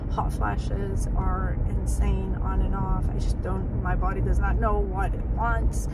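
A woman's voice: medium pitch, level tone, a little slow. A woman in her forties from the Azores, chatting casually and rambling with lots of fillers as she vlogs from her car. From Portugal, Azores. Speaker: lifestyle vlogger. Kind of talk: casual chat while driving. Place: inside a car. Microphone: dashboard camera mic. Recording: distant mic, loud road noise.

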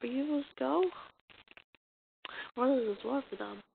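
A woman reading a comic dub as an amateur voice actor, acting out the dialogue in a halting, stumbling way on a muffled, noisy recording. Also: high voice, creaky. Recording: muffled lo-fi recording with noise and clicks.

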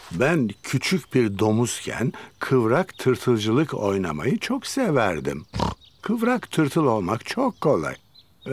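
A man's voice: medium pitch, noisy background. A man voicing a jolly, kindly old grandfather pig in a children's cartoon, explaining things playfully. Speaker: cartoon character actor. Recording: loud cartoon music behind.

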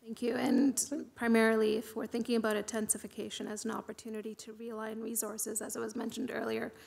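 Woman on city council, monotone, voice going a bit, lots of ums. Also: fairly high pitch, gravelly. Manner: measured, halting with fillers. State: losing her voice. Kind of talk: council meeting question. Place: council chamber.

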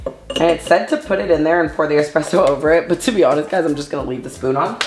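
A woman's voice: low-pitched, quick pace. A young woman vlogging from her home kitchen, chatty and bubbly, calling viewers babes and honey. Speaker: lifestyle vlogger. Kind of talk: casual chat to camera. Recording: echoey kitchen, soft background music.

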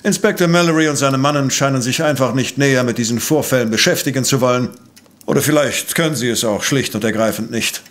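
An actor playing a brilliant, arrogant professor and amateur sleuth in a German audio drama, brusque, pompous and precise. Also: male voice, medium pitch, fast, a bit bright, natural voice. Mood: analytical, disdainful.

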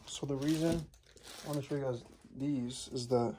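A man's voice: mid-range voice, fairly even intonation, moderate pace, heavy background noise. A young man talking casually to his viewers, rambling with lots of fillers and restarts. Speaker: vlogger. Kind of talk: casual vlog chat.

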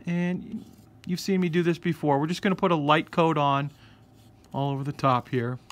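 A man hosting a casual scale-model painting tutorial, explaining each step in a relaxed way. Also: on the high side, moderate pace. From United States. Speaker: hobby shop host. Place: hobby workbench indoors.

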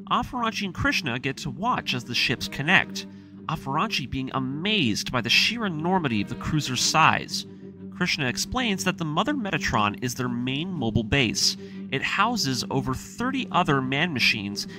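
A man hosting a video series, narrating a recap in a conversational, semi-scripted way. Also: a little high. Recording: music playing behind the voice. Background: music.

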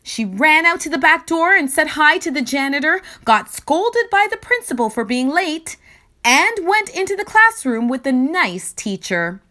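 A woman reading a children's story aloud in expressive narration, with deliberate, theatrical emphasis. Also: high-pitched.

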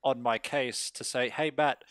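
A man with a smooth, clear voice of medium pitch talks casually as an interview guest. He rambles in a joking, self-deprecating way, recorded close and dry. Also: fairly even intonation, a little slow, fairly bright tone, no echo. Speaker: interview guest, amateur voice actor. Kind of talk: casual chat.

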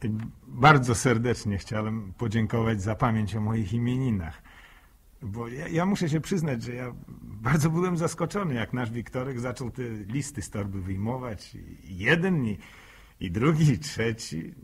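A man's voice: mid-range voice, lively intonation, normal speed, husky. An actor playing a shy, grateful young village man in a 1960s radio drama, speaking hesitantly and repeating words. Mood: bashful, grateful. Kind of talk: 1960s radio acting, informal thank-you speech.

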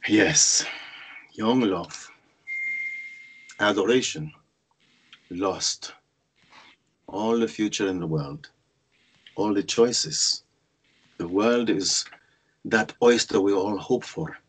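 A man acting a shy, awkward, dutiful son in a screenplay read, with a husky voice and halting delivery. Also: on the low side, low-quality recording. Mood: wistful. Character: Constantine, shy, awkward, dutiful young son and budding actor. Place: indoors on a group video call. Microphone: video call.

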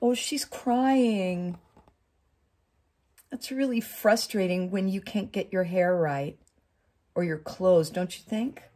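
A middle-aged woman reading a picture book aloud to children, expressively, with chatty asides and questions to her listeners. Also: medium pitch. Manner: expressive, drawn-out phrasing with questions to young listeners. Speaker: community storytime reader. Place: small wood-panelled room indoors.

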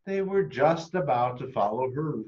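An American man in his forties reading a children's storybook aloud with animated expression, recorded on a camera close by. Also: mid-range voice, moderate pace, highs cut off. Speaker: storybook reader for a school.

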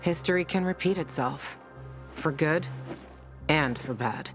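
Low-voiced, blunt young female fighter. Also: measured pace, soft background music. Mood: defiant. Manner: blunt, swearing, conversational. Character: blunt, tough, foul-mouthed young woman fighter, wry and loyal. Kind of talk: video game dialogue acting.

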